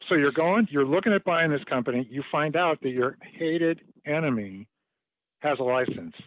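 A man's voice: fairly high pitch, expressive, normal speed, dry recording. A man interviewing a colleague, asking friendly, lightly joking questions in conversational style. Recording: tinny phone-like line.

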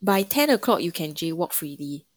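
A woman's voice: on the low side, sing-song, normal speed, dry recording, clean studio sound. A young woman with a Singaporean English accent, chatting casually as an interview guest and laughing often. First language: not Mandarin. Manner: laughs often, uses lah and lor.

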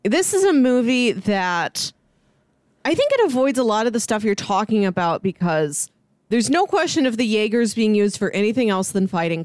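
A woman's voice: mid-range voice, a little mellow, dry recording. A woman co-hosting a podcast, discussing things in a casual, conversational way. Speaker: podcast co-host reviewing a film.

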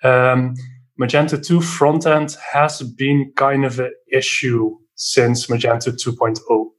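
A man from the southern Netherlands with a Dutch accent, talking casually as a podcast guest and software developer. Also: medium pitch, level tone, slow pace. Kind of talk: casual explanatory interview talk.

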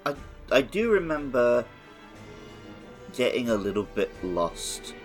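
A man's voice: medium pitch, very animated, slow, faint music behind. A man co-hosting a podcast, chatting casually in a wry, joking manner. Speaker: podcast co-host. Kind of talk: casual chat.

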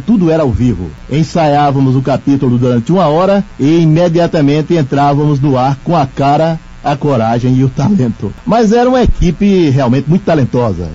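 Older male radio veteran, mellow, measured reminiscence, slightly muffled archive audio. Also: medium pitch. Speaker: veteran radio presenter and actor. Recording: dull lo-fi archive audio, faint music.

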